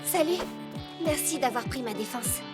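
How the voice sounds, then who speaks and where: A woman's voice: high voice, animated, moderate pace. A woman voicing a headstrong, feisty young bug in a cartoon, speaking in a brash, emphatic, informal way. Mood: friendly. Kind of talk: animated cartoon dub acting. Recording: music behind the voice.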